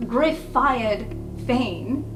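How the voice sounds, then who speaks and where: A woman playing a tabletop role-playing game, improvising narration and dialogue in halting, thoughtful phrases. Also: on the high side, expressive. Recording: roomy, distant mic under prominent music.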